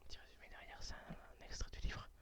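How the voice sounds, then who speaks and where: Whispering ASMR host, halting and informal. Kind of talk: whispered ASMR poem reading and book chat.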